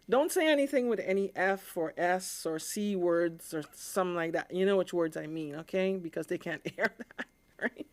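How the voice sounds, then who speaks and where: A woman hosting a livestream in a casual, informal, conversational way, often checking in with the listener. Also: on the low side. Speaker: live stream host, amateur radio hobbyist.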